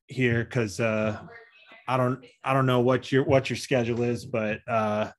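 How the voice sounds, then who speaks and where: Man, moderator, friendly, halting, lots of fillers. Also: medium pitch, little pitch movement. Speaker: panel moderator. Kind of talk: online panel discussion.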